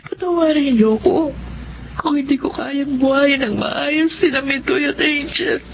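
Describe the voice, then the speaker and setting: A woman's voice: high voice, measured pace, highs cut off, a little hiss or hum. A woman acting a poor, anxious, devoted mother in an emotional Filipino audio drama, with a Filipino accent and a pleading manner. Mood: pained, worried.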